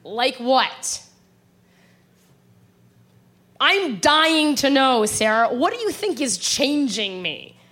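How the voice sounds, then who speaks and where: A woman's voice: high voice, very animated, slow, a bit bright, full character voice. A woman playing an exasperated, worn-out young woman in a horror radio play, earnest and emotionally strained. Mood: defensive, exasperated. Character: exasperated, worn-out young woman leaving her troubled girlfriend.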